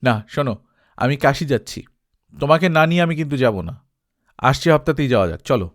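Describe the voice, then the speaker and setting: An eager English gentleman artist from a storybook, voiced by a man reading aloud. He is effusive and coaxing, with a lively, swooping melody to his speech. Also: mid-range voice, fairly quick, close to an everyday voice.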